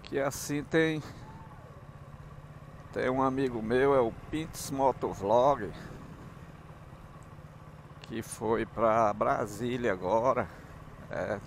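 Male motovlogger, northeastern Brazilian accent, folksy casual chat at a slow pace, mid-range pitch, recorded outdoors while riding. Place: outdoors, riding a motorcycle on town streets. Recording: motorbike and street noise. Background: engine and traffic sounds.

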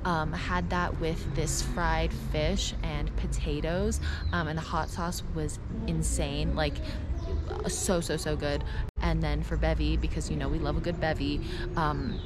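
A young woman talks casually to her camera as a travel vlogger, with music playing prominently behind her. Her voice sits a little low for a woman. She speaks informally, with slang and plenty of emphasis. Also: flat delivery, a little slow. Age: 20s.

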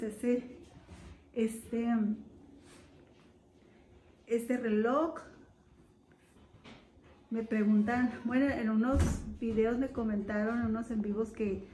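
A woman's voice: fairly high pitch, mellow, a little hiss or hum, poor audio. A middle-aged woman, chatting casually to viewers as she walks through her house filming on a phone. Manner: chatty, homely, addresses viewers directly. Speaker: vlogger giving a home tour. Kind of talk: casual home tour narration. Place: house and yard, indoors and outdoors. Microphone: phone camera held while walking.

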